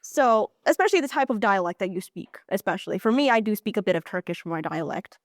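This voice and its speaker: A teenage girl hosting a student podcast, chatting casually with lots of filler words and lively opinions. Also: medium pitch, fast, no echo, clean studio sound. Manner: chatty, many filler likes. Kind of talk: casual chat.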